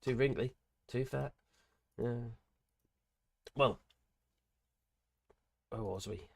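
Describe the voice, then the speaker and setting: An older man with grandchildren, hosting a casual live stream, hoarse from a cold and chatting in a rambling way with many fillers and restarts. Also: mid-range voice, drawn-out words. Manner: rambling, chatty, with frequent fillers and self-corrections.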